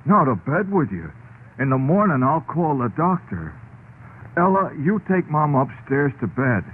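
An actor playing a folksy, solicitous husband and devoted son in an old radio drama, gently bossy in manner. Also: male voice, a little high, sing-song, normal speed. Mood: worried, solicitous. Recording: tinny old radio recording, faint noise.

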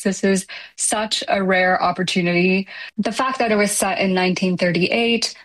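A woman from Taiwan speaks as a translator being interviewed. Her voice sits a little low, and she lays out her thoughts in articulate, measured sentences. Now and then she slows to weigh a word. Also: a bit bright, low-quality recording. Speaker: literary translator, guest.

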